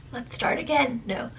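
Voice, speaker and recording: A woman reviewing beauty products to camera in a chatty, rambling way, full of fillers, her voice strained and going hoarse. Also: high-pitched, slight background noise. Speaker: beauty vlogger.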